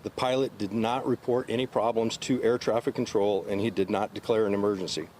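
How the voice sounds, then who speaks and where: A man delivers a formal, factual briefing as an investigator. His voice is slightly low, recorded outdoors with faint urban noise around him. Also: steady tone, a little fast, a little mellow. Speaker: accident investigator. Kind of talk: formal press briefing statement.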